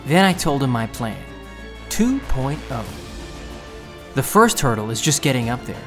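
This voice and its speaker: Determined young thief narrating over music. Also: male voice, medium pitch, very animated, unhurried, fairly bright tone. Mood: narrating, tense. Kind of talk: audio drama narration and dialogue. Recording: music under the voice.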